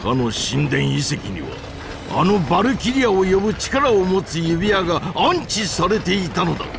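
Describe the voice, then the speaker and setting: An actor playing a haughty, fanatically loyal imperial general with a gravelly voice and grand, pompous phrasing in a Japanese video game. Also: male voice, high-pitched, big pitch swings, normal speed, background noise, exaggerated voice. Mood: gloating. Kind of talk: theatrical Japanese video game villain acting.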